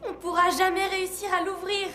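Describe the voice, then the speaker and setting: A woman voicing a sensible, curious, encouraging child sidekick in an animated film. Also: very high-pitched, fairly quick. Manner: questioning, earnest. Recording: echoing sound with music behind.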